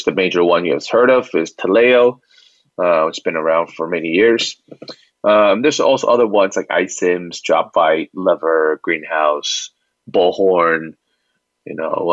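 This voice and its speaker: A man speaking as an interview guest, explaining his product conversationally in a halting way with repeated words. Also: low voice, a bit bright, no echo. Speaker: company founder and CEO, interview guest. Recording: dull lo-fi band-limited audio.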